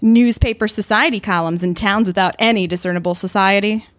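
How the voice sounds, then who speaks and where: A woman's voice: medium pitch, fairly even intonation, fairly quick, no echo, lightly acted. A woman playing a sardonic, impatient pulp writer in an audio play, with a dry, sarcastic manner.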